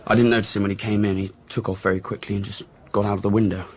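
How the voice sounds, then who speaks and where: A man's voice: lowish voice, little pitch movement, very fast, breathy edge. An actor playing a blunt, cynical male journalist in a radio drama, answering in an offhand, grudging way. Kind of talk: naturalistic radio drama acting.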